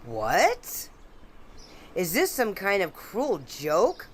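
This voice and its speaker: A woman's voice: medium pitch, huge pitch swings, drawn-out words, a little hiss or hum, heavily acted. An actress playing a courteous, genteel neighbor woman, easily wounded, in a radio drama. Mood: hurt, indignant.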